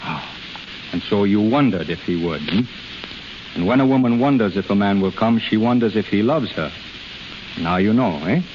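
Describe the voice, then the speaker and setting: Man acting an intense low-voiced healer, old radio. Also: animated, a little hiss or hum, close to an everyday voice. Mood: wry, gentle. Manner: halting, emphatic, repeats phrases. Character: intense, commanding, tender male healer to an invalid woman. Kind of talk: 1930s radio drama acting. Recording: muffled 1930s radio transcription, music behind.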